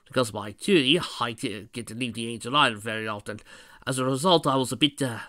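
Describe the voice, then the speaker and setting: Proud male echidna warrior played by a narrator: blunt, gruff, forceful, defensive, close and dry. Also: mid-range voice, fast, no echo, natural voice.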